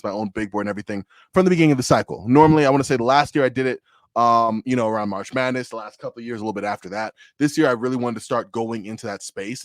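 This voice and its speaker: A man hosts a casual basketball podcast, talking fast and full of excitement. His voice sits at a middle pitch and comes through dry and close on the mic, with no room sound behind it. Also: dry recording. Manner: hyped, energetic, talks fast with restarts. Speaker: podcast host. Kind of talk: casual sports podcast chat.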